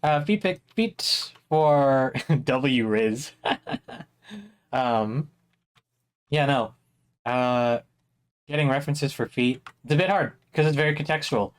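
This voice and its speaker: A young man, a little hoarse, teaching a drawing class on a live stream in a chatty, rambling way with many fillers. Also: medium pitch, slow, long pauses. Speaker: art instructor streaming a drawing workshop.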